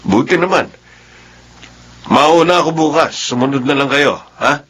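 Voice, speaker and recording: A man's voice: on the high side, a little slow, a bit bright, a little hiss or hum. A man playing an ambitious, boastful middle-aged husband with a Filipino accent in a drama. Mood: pleased, boastful. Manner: boastful, eager.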